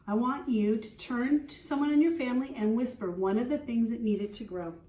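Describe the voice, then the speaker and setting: A woman schoolteacher reading a children's storybook aloud, explaining simply and pausing to put questions to young listeners. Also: fairly high pitch, mellow. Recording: roomy echo, distant microphone.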